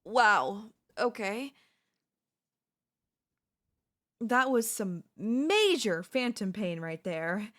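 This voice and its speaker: A woman's voice: medium pitch, fairly bright tone. A woman voicing a chatty, teasing zombie in a comedic audio roleplay, talking casually and playfully straight to the listener. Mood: rattled, wry. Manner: casual, playful, taunting, slangy, drawn-out delivery. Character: chatty, teasing, cocky female zombie hunting a human survivor.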